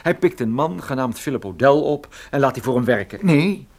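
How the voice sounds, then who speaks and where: A man's voice: mid-range voice, animated, moderate pace, a little mellow, close to an everyday voice. An actor playing a shaken, self-mocking male private detective in a Dutch radio play, with a sardonic way of talking. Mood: agitated, bitter.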